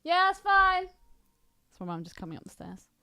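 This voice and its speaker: A woman's voice: very high-pitched, very animated, normal speed, sharp tone, no echo. A British woman co-hosting a podcast, chatting casually and informally with teasing, sweary banter. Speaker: podcast co-host. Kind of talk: casual film podcast chat.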